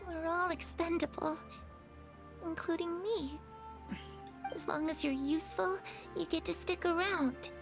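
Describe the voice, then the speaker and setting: Young girl, game character, very high voice, soft and confiding, wistful, faint music behind. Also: fairly even intonation, measured pace, pauses often. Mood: bitter. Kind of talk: game cutscene voice acting.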